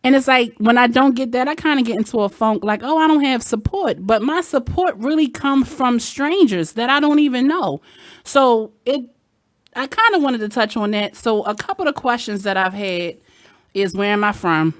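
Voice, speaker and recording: A woman's voice: a little high, normal speed, no room sound, studio quality. A woman radio host with a Baltimore accent, chatting candidly with sassy asides. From Baltimore, Maryland. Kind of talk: casual chat. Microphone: headset.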